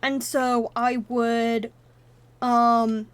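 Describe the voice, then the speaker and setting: A woman's voice: fairly high pitch, flat delivery, extremely slow, clean tone, dry recording. A woman whose first language is Russian, casually commenting on a game on a live stream and thinking aloud as she wanders into tangents.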